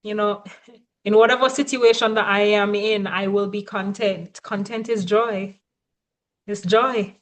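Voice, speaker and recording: A woman's voice: mid-range voice, steady tone, measured pace. A woman coach giving a motivational teaching talk, speaking emphatically and often checking in with her listeners.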